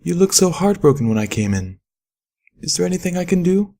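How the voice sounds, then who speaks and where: An elegant unicorn songstress, played by a male reader in a storybook reading. The voice is lifted high, smooth and soft, with refined, gracious manners and a gently kind temper. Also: sing-song, fairly quick, heavily acted. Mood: sweetly sympathetic. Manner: polite, refined.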